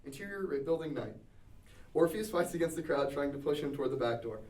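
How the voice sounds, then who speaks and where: A man's voice: on the high side, lively intonation, fairly quick, a little mellow. A man reading scripted stage directions as narrator at a table read. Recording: echoey room, distant mic.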